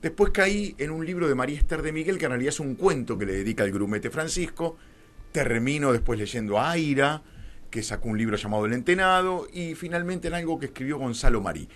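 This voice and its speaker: A man's voice: medium pitch, lively intonation, brisk, a bit bright. A man with a Rioplatense Argentine accent telling anecdotes conversationally as a radio guest, wry and theatrical, acting out bits of dialogue. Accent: Rioplatense Argentine Spanish. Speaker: interview guest, filmmaker. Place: radio studio.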